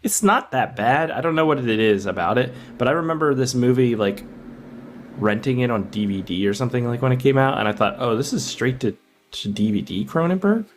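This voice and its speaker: A man's voice: mid-range voice, lively intonation, a little fast, a little hiss or hum. A man co-hosting a podcast, discussing a film casually and informally, thinking aloud as he goes. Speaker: podcast co-host.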